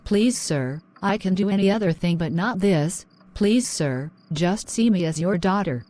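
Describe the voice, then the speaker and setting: A woman's voice: on the low side, lively intonation, unhurried, dark tone. A young woman, acting a frightened student who pleads timidly. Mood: pleading. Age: young adult. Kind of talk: amateur drama acting.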